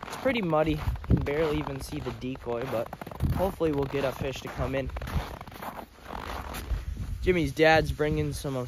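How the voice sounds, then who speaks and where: A young man casually vlogging a winter camping and ice fishing trip, easygoing and excitable. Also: medium pitch, rough recording. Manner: easygoing, excitable when fish are caught. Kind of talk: casual outdoor vlog. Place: ice-fishing tent and frozen lake.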